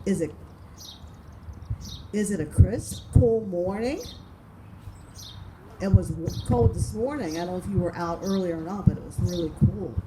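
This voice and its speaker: A woman's voice: on the low side, normal speed, long pauses. A woman reading picture books aloud to young children, stretching words for drama and often stopping to ask them simple questions. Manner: asks the listeners frequent simple questions, dramatic word stretching. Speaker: children's storytime reader. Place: outdoors among flowers and open sky. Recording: outdoor recording with loud birdsong and noise.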